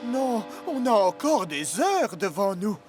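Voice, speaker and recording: An actor voicing an eager, friendly young talking boat in a French cartoon dub, earnest and excitable. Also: medium pitch, slow. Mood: apologetic, reassuring. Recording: music behind the voice.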